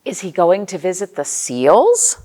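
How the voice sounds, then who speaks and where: A woman's voice: fairly low pitch, wildly swooping pitch, unhurried, mellow. A woman hosting a storytime for young children as a librarian, speaking expressively and putting questions to her young listeners.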